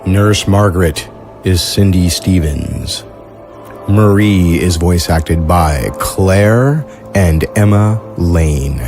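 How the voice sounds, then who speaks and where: A man's voice: sits low, a little mellow. A man serving as the show's narrator, reading scripted end credits. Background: music.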